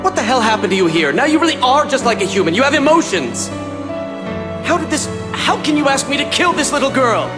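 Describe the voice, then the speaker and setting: A man's voice: very high-pitched, very animated, fairly quick. A man voicing a sly, smug scheming male villain in a video game, talking down in a mocking, theatrical way. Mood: shaken. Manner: mocking, theatrical, talks down to listener. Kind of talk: English video game voice acting. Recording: long reverb, faint music behind. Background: faint music.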